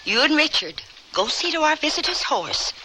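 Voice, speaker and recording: An actress playing a hospitable, gently firm, motherly rancher's wife in an old-time radio drama. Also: high voice, big pitch swings, normal speed, background noise. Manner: motherly, folksy.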